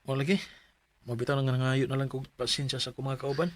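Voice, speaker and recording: A man's voice: medium pitch, level tone, fast, no room sound, natural voice. A man acting an earnest married man in his thirties who tells his troubles in a radio drama. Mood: troubled. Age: 30s. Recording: music bed under voice. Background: music.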